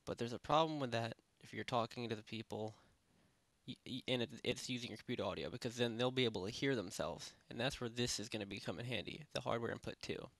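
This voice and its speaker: A man narrating a software tutorial in a casual, unscripted, rambling way, speaking informally with lots of filler phrases. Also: mid-range voice, fast talker, dry recording. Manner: rambling, informal, repeats "basically" and "you know". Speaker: tutorial presenter. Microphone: close headset microphone.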